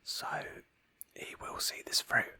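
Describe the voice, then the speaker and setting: A woman whispering gently as she narrates an ASMR roleplay of a video game in a storytelling manner. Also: takes it slowly, no echo. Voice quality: breathy whisper. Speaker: host of a roleplay game playthrough.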